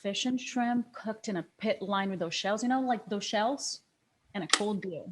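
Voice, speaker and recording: An actress in a screenplay table read playing a younger police detective, wry and skeptical, with a dry, probing manner. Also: female voice, medium pitch, moderate pace, a bit bright, natural voice. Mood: wry, dreamy.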